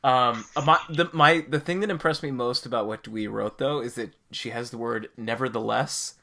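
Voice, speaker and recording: A man's voice: medium pitch, fairly even intonation, normal speed, creaky, sharp tone. A man hosting a podcast in a casual, conversational style. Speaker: podcast host.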